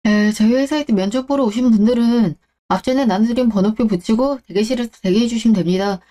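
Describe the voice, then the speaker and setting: A woman's voice: on the high side, dark tone, natural voice. A woman voicing a polite company representative in a radio drama, giving a formal announcement to applicants. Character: polite company host addressing job applicants.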